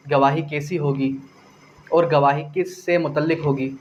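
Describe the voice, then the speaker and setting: A Pakistani man teaches law in a slightly high voice. He explains point by point, often repeating a phrase and posing a question before answering it. English legal terms are mixed into his speech, and faint noise runs through the recording. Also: poor audio. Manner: didactic, repeats phrases and asks rhetorical questions. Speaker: law lecturer. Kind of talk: exam-prep lecture, explaining point by point.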